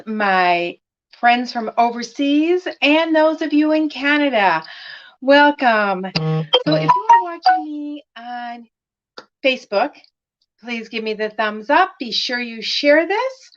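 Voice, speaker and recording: Slow-talking woman, slightly raspy. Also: a little high, big pitch swings. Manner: chatty, greets viewers by name, many fillers. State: recovering from laryngitis, slightly raspy at times. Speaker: craft demonstrator hosting a live stream. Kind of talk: casual live-stream chat with viewers. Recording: dull lo-fi live stream audio.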